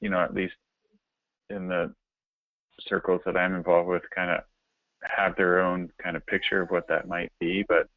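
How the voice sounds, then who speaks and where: Casting: man, deep voice, hesitant casual colleague on a work call, phone-band sound. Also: level tone, moderate pace, pauses often, no echo. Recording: thin, narrow-band phone line.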